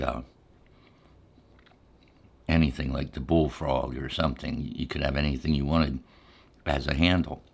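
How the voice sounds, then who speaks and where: A middle-aged man telling casual stories in an ASMR style, unhurried and halting as he reminisces. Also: rumbling low. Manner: unhurried, halting, reflective recollection. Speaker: ASMR storyteller. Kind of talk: ASMR casual storytelling while eating.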